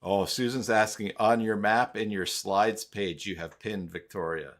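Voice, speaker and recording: An older retired man hosting a casual video-call interview, relaxed and reading out viewer questions. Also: lowish voice, measured pace. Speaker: host.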